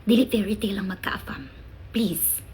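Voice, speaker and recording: A woman with a Bisaya Filipino accent speaks at a medium pitch in a casual vlog style. She is frank and emphatic, posing questions to her listeners and pressing her points with short tag words, like someone giving blunt advice. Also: lively intonation, normal speed, a little mellow, slight background noise. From Philippines. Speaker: vlogger.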